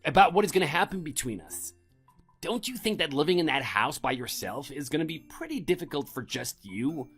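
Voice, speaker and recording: A man playing an arrogant, whiny husband in an audio drama, blustering with a husky voice. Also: on the high side, lively intonation, fairly quick, a bit bright, soft background music, exaggerated voice. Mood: bitter, belittling. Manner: indignant, blustering, pleading. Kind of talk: amateur audio drama acting.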